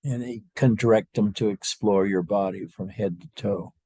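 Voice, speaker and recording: A man leading a guided meditation as a spiritual teacher, speaking to a group in a slow, halting, unscripted way with long pauses. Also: on the low side, steady tone, warm tone, husky, no room sound.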